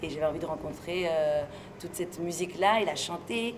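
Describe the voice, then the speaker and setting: A woman from France being interviewed, speaking in a relaxed, thoughtful and hesitant way and laughing easily. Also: lowish voice, a little fast. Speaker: interviewee, singer and stage performer. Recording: noisy location recording with ambient sound.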